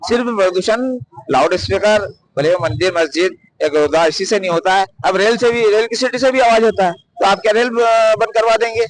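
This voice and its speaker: A man's voice: high voice, brisk, rough recording. A man giving firm, emphatic opinions in answer to an interviewer. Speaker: member of the public interviewed.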